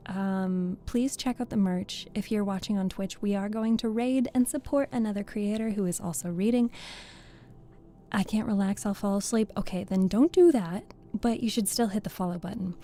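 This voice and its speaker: Giggly woman streamer, mellow voice. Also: medium pitch. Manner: chatty, giggly, thanks viewers by name. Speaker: streamer and VTuber reading host. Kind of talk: casual live-stream chat with viewers.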